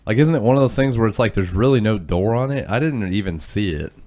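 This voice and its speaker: Low-voiced dude with a blocked nose, rambling through a game, lots of likes and uhs. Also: male voice, lively intonation, fast talker, mellow. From Kansas. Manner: rambling, self-deprecating, lots of fillers. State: stuffy nose, nasal. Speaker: gaming channel host. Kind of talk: rambling let's-play commentary.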